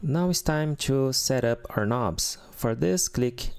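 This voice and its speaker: A man whose first language is Portuguese, hosting a keyboard tutorial and calmly explaining settings step by step. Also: medium pitch, takes it slowly, creaky, clean tone, soft background music. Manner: calm step-by-step explaining with fillers. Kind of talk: instructional walkthrough.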